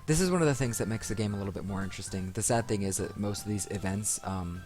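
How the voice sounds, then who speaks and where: Low-voiced man doing casual game commentary. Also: fast, darkish tone. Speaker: let's play host. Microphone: desk microphone. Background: game music.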